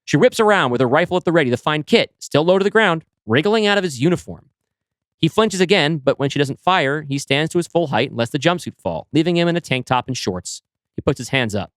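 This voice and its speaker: A man reading a science fiction script aloud as narrator, in an even, descriptive manner. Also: a little high, fairly quick, no room sound. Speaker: storyteller.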